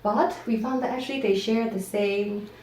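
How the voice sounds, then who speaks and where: A woman teacher, answering interview questions thoughtfully with frequent fillers and pauses. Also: medium pitch, steady tone, a little mellow. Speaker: visiting teacher from Hong Kong. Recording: roomy, distant mic, faint room noise.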